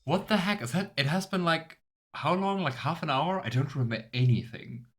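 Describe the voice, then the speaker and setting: A man's voice: a little high, lively intonation, normal speed, a bit bright. A man casually commenting on a game he is playing, joking and rambling. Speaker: let's play host. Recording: with game music behind.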